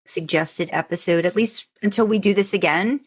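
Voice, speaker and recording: A woman co-hosting a podcast in a chatty, informal, animated way. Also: on the low side, moderate pace, slightly rough. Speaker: podcast co-host. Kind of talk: casual podcast chat.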